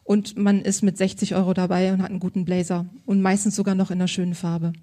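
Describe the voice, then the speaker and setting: A woman's voice: mid-range voice, level tone, quick pace, warm tone. A woman working as a style consultant, answering interview questions in an enthusiastic, colloquial way.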